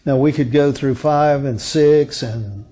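A man in his sixties preaching as a pastor, teaching from scripture in a deliberate, emphatic manner with rhetorical questions and pauses. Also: mid-range voice, dark tone. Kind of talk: sermon, expository Bible teaching from a lectern. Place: indoor lectern on a curtained stage.

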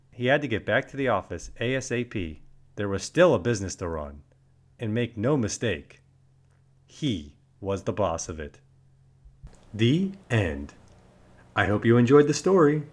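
A man reading a children's storybook aloud as narrator, with deliberate, dramatic emphasis and expressive delivery. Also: on the low side.

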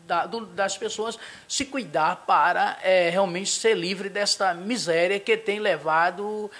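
A middle-aged man, a pastor from Brazil, giving a halting local TV interview full of fillers and restarts, speaking into a handheld mic in a small studio. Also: high voice, a bit bright. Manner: hesitant, many fillers and restarts, tag questions. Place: small studio in front of a logo backdrop.

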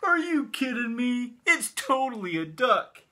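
An American man voicing an excitable, insistent cloud-watcher in a picture book, exclaiming and arguing playfully. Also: extremely high pitch, measured pace, voice pushed up, heavily acted. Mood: excited, insistent.

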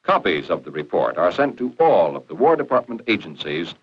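Lively American man narrating a vintage training film. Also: sits low, a little fast, husky. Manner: plain-spoken, folksy, instructive. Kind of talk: scripted 1940s educational film narration. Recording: dull lo-fi 1940s film soundtrack.

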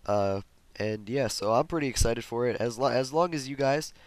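A young man with a sick, hoarse voice, rambling through casual gameplay commentary with many fillers and restarts. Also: medium pitch, moderate pace, dry recording. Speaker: gaming commentator.

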